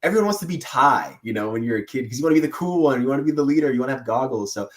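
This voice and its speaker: A man who works as a voice actor, telling stories in a casual interview with animated, excitable energy and frequent laughter. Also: medium pitch, rapid-fire. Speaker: voice actor guest.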